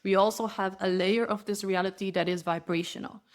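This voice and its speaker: Brief: female interview guest, Spanish native speaker, mid-pitched voice, earnest and flowing, restarts her phrases. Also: steady tone, fairly quick. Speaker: spiritual guide, interview guest. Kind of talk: podcast interview.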